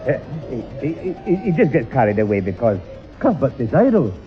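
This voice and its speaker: A man's voice: mid-range voice, big pitch swings, fairly quick, close to an everyday voice. A man playing a blustering old Scottish grandad. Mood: flustered bluster. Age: 60s or older. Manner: flustered, stammering bluster. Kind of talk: 1960s radio sitcom acting. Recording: echoey dull old radio recording, faint music.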